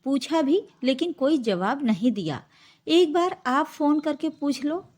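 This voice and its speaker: Nervous middle-aged mother, voiced by a woman, high-pitched. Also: lively intonation, unhurried, darkish tone. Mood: flustered. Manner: flustered, pleading.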